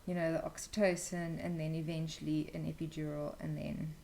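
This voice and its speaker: A woman's voice: low-pitched, level tone, brisk, gravelly, warm tone, a little hiss or hum. A young woman telling a personal story as an interview guest, casually and candidly, with some hesitation. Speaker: interview guest, new mother.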